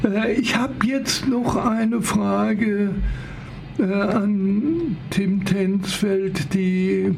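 High gravelly man asking slow questions. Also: a little hiss or hum, low-quality recording. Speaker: interviewer and host. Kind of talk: casual interview.

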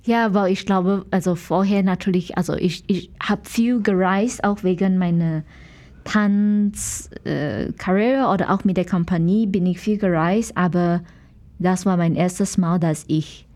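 A woman's voice: medium pitch, dark tone. A woman from Malaysia with a Malaysian accent answers conversationally as an interview guest, searching for words in a language she learned later, her native ones being English, Mandarin and Malay. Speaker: guest, dancer and dance teacher.

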